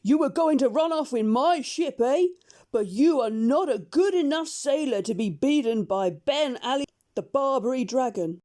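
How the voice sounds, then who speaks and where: A woman voicing a boastful male pirate captain who taunts loudly. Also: high voice, expressive, moderate pace, voice pushed up. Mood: gloating. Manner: gloating, loud, taunting.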